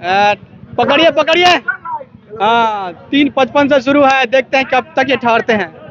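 A man's voice: very high-pitched, measured pace. A young man hosting a chatty, teasing vlog from an outdoor fairground, talking into a handheld mic and laughing often. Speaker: vlogger host. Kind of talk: lively on-the-spot fair commentary. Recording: dull lo-fi outdoor audio with loud crowd.

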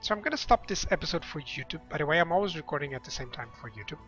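A man's voice: a little high, expressive, very fast. A young man casually chatting with viewers while playing a strategy game, rambling and laughing easily. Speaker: game streamer. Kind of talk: casual let's-play chat with viewers. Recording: slightly dull lo-fi sound with game music.

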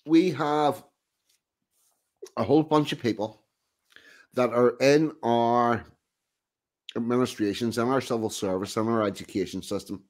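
A man's voice: medium pitch, a little slow, long pauses, a little mellow. A man hosting a casual online video call chat, talking bluntly and emphatically. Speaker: host. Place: indoor room.

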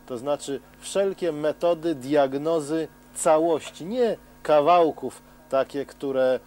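A middle-aged man explaining helicopter testing as a TV presenter, in a measured way with frequent hesitations. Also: fairly high pitch, a little mellow. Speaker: TV science presenter. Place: outdoors at a helicopter test stand.

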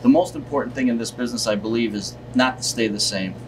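A man in his thirties answering interview questions casually and haltingly, as a deli owner. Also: mid-range voice. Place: indoors in a deli, seated at table. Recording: distant mic, loud hum of air conditioning.

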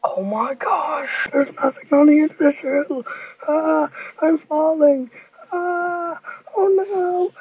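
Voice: sits high, slow pace, a little mellow. A performer voicing a clumsy hero who keeps getting hurt, whimpering and crying in pain, on a dull lo-fi home recording. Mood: wailing.

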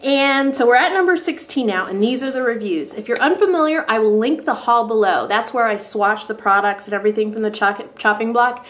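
A woman in her thirties with a cold and a congested voice, chatting casually through a beauty product review from a sunroom at home, speaking to a camera on a tripod, animated and full of self-deprecating asides. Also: a little high, fairly quick, few pauses. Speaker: beauty vlogger. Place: bright sunroom at home, indoors. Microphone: camera on tripod facing her.

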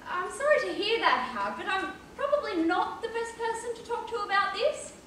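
A woman's voice: extremely high pitch, expressive, a little fast, pushed and bright, exaggerated voice. A woman acting a prim, tartly sensible elderly care-home resident in a stage play, with a proper, exasperated manner. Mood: polite. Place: large theatre stage, recorded from far off. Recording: echoey distant theatre recording with hiss.

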